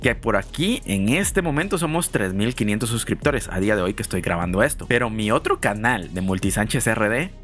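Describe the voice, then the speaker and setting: A man's voice: lowish voice, expressive, a little fast, hardly any pauses, a bit bright, soft background music. A man from Guatemala hosting a casual reaction video, musing aloud in a chatty way.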